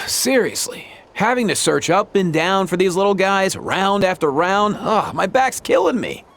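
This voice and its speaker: A man's voice: high voice, animated, unhurried, vocal fry, a bit bright, full character voice. An actor playing an easygoing, good-natured young man in a video game, slangy and readily exasperated. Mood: weary, exasperated. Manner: slangy, sighing and exclaiming. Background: faint game background music.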